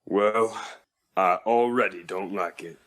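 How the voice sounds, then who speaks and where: Man playing a low, gruff railroad laborer. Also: slow, a bit bright, dry recording, studio quality. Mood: skeptical. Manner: gruff, folksy. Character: gruff, skeptical male Old West railroad laborer. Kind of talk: comic dub voice acting.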